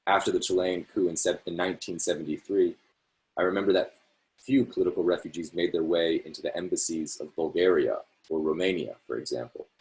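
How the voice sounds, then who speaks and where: A man with an extremely low, deep voice reads a literary essay aloud over a video call. He takes it phrase by phrase in a measured way and now and then corrects a slipped word. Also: rough recording. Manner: measured, phrase-by-phrase delivery with small self-corrections. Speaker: reader hosting a reading series.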